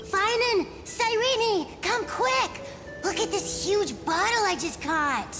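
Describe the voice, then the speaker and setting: A woman voicing an excitable, curious little village child in a story video game. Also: very high voice, takes it slowly, heavily acted. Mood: excited, curious. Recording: long reverb under music.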